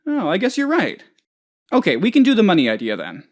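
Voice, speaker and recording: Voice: medium pitch, wildly swooping pitch, brisk. An actor playing a dim, anxious, childishly self-important office boss in a comedic video game, rambling hesitantly and turning whiny when upset. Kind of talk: comedic game dialogue, voice lines.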